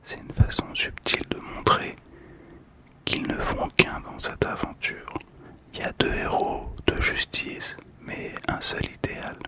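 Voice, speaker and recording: A man doing ASMR commentary in a soft, calm whisper, describing things gently and unhurriedly. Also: a little slow. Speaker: host of a manga card ASMR channel.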